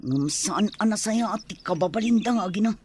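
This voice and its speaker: A woman's voice: mid-range voice, animated, a little fast, darkish tone, slight background noise, close to an everyday voice. A woman playing a concerned country elder sister in a radio soap drama.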